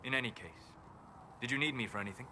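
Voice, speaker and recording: An actor voicing a calm, reserved elven apostate mage in a video game, speaking in a measured, formal, thoughtful way. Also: male voice, medium pitch, close to an everyday voice. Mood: grave, measured. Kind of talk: video game dialogue acting. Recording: clear ambient noise behind game dialogue.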